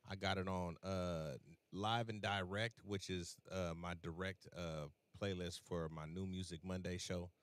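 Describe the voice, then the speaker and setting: Deep-voiced radio host, dry sound. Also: male voice, steady tone, unhurried, few pauses, smooth, no room sound. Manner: laid-back, many fillers and restarts. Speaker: radio host and playlist curator. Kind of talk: casual interview chat.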